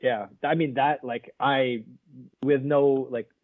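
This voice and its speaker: A man's voice: medium pitch, steady tone, slow, dry recording. Chatty man on a tinny line. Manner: rambling, laughs often, many fillers. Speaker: podcast co-host. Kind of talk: casual film review chat. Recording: narrow phone-like sound.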